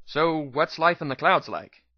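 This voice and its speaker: A man's voice: a little high, animated, measured pace, no room sound, pitched above the performer's own voice. A cocky, naive pony mare from a fantasy storybook, played by a man, speaks casually and brashly. The recording is dry and a little muffled. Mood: curious.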